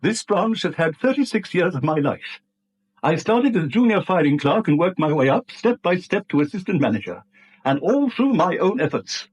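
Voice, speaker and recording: An actor playing a bitter middle-aged British bank manager in a radio drama, brusque, indignant and clipped, on a dull vintage recording. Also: male voice, high voice, sing-song, normal speed, breathy edge, heavily acted. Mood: bitter, aggrieved. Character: bitter, prickly long-serving male acting bank manager, older. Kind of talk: 1970s radio drama acting.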